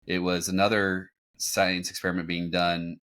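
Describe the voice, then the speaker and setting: A man hosting a podcast, recapping in a casual, halting, conversational way. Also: low voice, flat delivery, fairly bright tone. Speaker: podcast host. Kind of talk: casual recap commentary on a cartoon. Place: home room, on a group video call.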